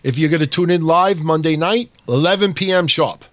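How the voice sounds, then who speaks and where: Emphatic, slightly hoarse male podcast host. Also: a little high, a bit bright, no echo. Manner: quick, emphatic, talks over co-host. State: a little hoarse. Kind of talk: casual wrestling podcast chat.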